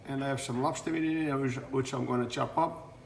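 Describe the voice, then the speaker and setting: A man's voice: medium pitch, fairly even intonation, a little fast, gravelly, slight background noise, low-quality recording. A middle-aged man from Portugal, a chef giving a rambling home cooking demonstration full of fillers and restarts.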